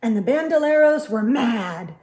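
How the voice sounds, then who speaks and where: Unhurried, higher-pitched woman reading a children's story. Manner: expressive, emphatic, talks directly to young listeners. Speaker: storyteller host of a children's read-aloud channel. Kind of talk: children's storybook read-aloud.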